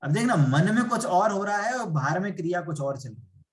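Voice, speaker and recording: A man leads a spiritual class, explaining step by step and checking in with rhetorical questions. His voice sits a little high for a man, and the recording carries a noticeable room echo. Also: lively intonation, fairly quick. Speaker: teacher. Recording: echoey room.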